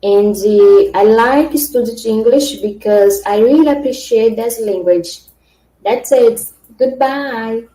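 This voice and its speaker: Brazilian woman in her twenties, mellow, roomy recording. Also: fairly high pitch, slow. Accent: Brazilian Portuguese. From Piauí, Brazil. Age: 20s. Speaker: language student.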